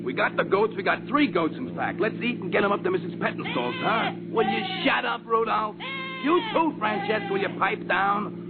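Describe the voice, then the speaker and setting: High, creaky, fretful sidekick. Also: male voice, sing-song, normal speed, loud music behind the voice. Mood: exasperated. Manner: complaining, agitated. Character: fretful, whiny comic sidekick, exasperated and hungry. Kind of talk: 1940s radio comedy acting. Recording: tinny old radio broadcast.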